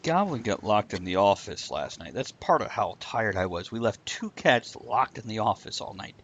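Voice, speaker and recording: A man's voice: lowish voice, big pitch swings, moderate pace, a bit bright, muffled recording. A man co-hosting a live stream show, chatting casually with sarcastic, rambling jokes. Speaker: podcast co-host. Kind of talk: casual chat.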